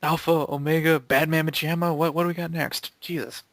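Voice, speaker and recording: Bright-voiced, snarky man chatting. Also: on the high side, flat delivery, a little fast. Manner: snarky, sweary, mock-exasperated. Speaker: member of a gaming commentary group. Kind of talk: rambling casual group chat.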